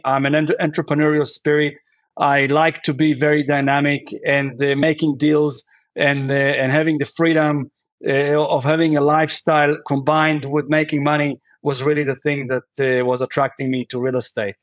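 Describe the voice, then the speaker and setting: Podcast guest: man from Israel, somewhat high voice, dry close sound, talks haltingly with lots of uhs. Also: little pitch movement, no echo. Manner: hesitant, many fillers and restarts. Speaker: podcast guest, real estate investor. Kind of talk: conversational interview answers.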